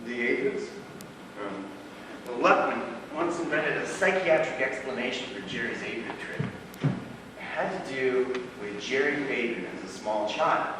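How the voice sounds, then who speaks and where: An actor on stage playing a wry, rambling drug-culture housemate who tells tall stories haltingly, with dramatic swells. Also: male voice, mid-range voice, natural voice. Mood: animated, sardonic. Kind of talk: live stage play acting. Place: stage of a small theatre. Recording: echoey theatre hall, distant mic, noisy.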